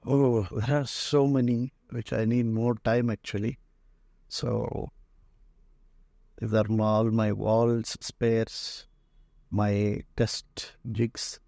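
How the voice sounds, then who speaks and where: A man with an Indian accent giving an informal guided tour as a museum owner, speaking haltingly with frequent pauses. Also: fairly low pitch, little pitch movement, vocal fry, warm tone, no room sound, studio quality. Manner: halting, pausing often mid-phrase. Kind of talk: informal narrated walk-through tour. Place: indoor museum and workshop room full of shelves.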